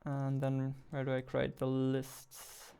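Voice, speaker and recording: A man in his twenties, a game developer, casually thinking aloud in a halting mutter as he works at his desk with a headset on. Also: medium pitch, completely flat delivery, gravelly, warm tone, clear, resonant tone. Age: 20s. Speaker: game developer, host of a devlog. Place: indoors at a desk.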